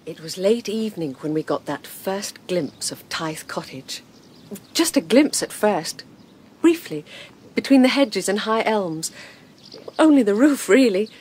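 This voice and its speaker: An actress in her thirties playing a playful, adventurous wife in a radio drama, speaking in a teasing, lively way. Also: medium pitch, measured pace, slightly rough. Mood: wistful. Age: 30s.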